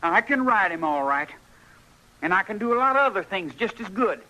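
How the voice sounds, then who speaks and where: A man's voice: high-pitched, sing-song, brisk. A male actor playing a cocky, eager frontier boy in an old radio western, with a rustic American accent. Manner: rustic boyish bragging. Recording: noisy old radio recording.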